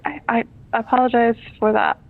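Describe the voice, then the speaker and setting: Over a thin, narrow phone line, a woman speaks as a customer service representative. Her voice is fairly high and flat with a creaky edge, and she is polite, hesitant and apologetic. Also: normal speed. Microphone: telephone. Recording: muffled, band-limited phone line.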